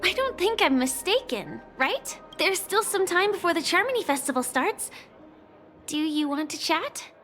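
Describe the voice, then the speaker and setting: A woman's voice: extremely high pitch, big pitch swings, moderate pace, a bit bright, soft background music, exaggerated voice. An actress playing a chatty, curious young girl in a video game, with a perky, eager, friendly delivery. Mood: excited, friendly.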